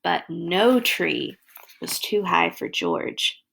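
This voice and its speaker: A woman storyteller reading a children's story aloud, expressively, with deliberate dramatic emphasis. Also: on the low side, big pitch swings, gravelly, a bit bright, a little hiss or hum, poor audio.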